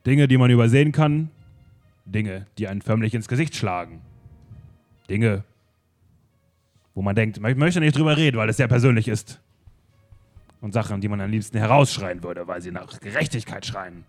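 A man's voice: fairly low pitch, normal speed, long pauses, darkish tone, faint music behind, close to an everyday voice. A man playing a pompous detective in an improvised radio play, declaiming with theatrical emphasis. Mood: grandiose. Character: pompous theatrical male detective, grand speeches. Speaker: improv performer.

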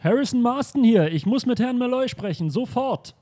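A man's voice: extremely high pitch, animated, normal speed, warm tone. A man acting a fussy, urgent museum director in an improvised audio drama.

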